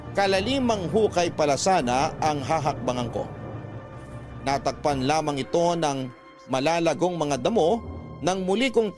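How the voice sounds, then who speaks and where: A man playing a skeptical letter sender, narrating his confession in a measured radio-drama storytelling style. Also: a little high, lightly acted. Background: music.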